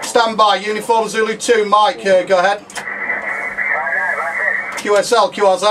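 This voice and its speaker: A man with a high, monotone voice runs radio contest contacts from a small room. He is picked up at a distance under very loud noise, spelling out callsigns in procedural phonetic exchanges. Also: moderate pace. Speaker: amateur radio contest operator. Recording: very noisy radio shack, distant mic.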